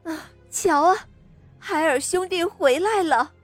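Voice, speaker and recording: Woman voicing an excited, very high mama bear. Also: slow pace, dry recording. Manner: loud calling out. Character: excited mother polar bear, warm-hearted storybook animal. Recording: music under the voice.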